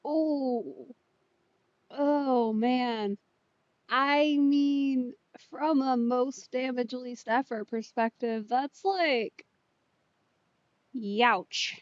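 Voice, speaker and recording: A woman's voice: high-pitched, very slow, long pauses, no room sound, highs cut off. A woman streamer giving casual Let's Play commentary, sarcastic and slangy. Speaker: streamer playing a video game.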